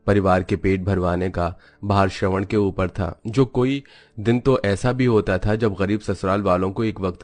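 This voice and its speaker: Low-pitched man narrating a moral story. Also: darkish tone.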